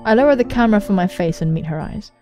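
A young woman hosting a let's play, reading a visual novel aloud and commenting on it with excitable, sweary exclamations. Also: medium pitch, fast talker. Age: young adult. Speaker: gaming video host. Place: indoor room at home, on webcam. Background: game soundtrack music.

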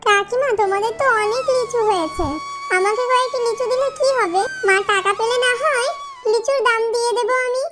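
Very high-pitched little cartoon fox girl. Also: fairly quick, over music. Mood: pleading, sad. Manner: childlike, pleading. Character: sweet, pleading little fox girl, poor and kind. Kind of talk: children's cartoon dubbing.

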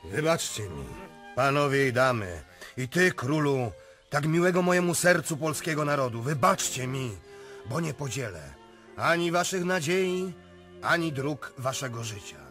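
An actor playing a solemn, cynical political visionary, declaiming eloquently in a radio drama. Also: male voice, mid-range voice, expressive, unhurried, fairly bright tone. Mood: solemn, regretful. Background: faint music.

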